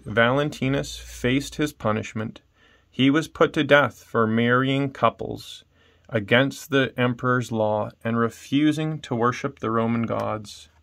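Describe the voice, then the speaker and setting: A man's voice: medium pitch, a little slow, vocal fry, a bit bright. Man reading a storybook aloud. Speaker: storybook reader. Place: small room indoors at home.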